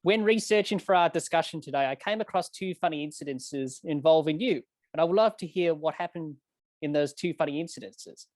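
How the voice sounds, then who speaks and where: A man's voice: on the high side, fairly quick, darkish tone, dry recording. A man hosts a podcast and works through prepared questions. He is earnest and slightly formal, and he sometimes corrects himself mid-sentence. The recording is close and very dry. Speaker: podcast host. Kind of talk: interviewing, reading prepared questions.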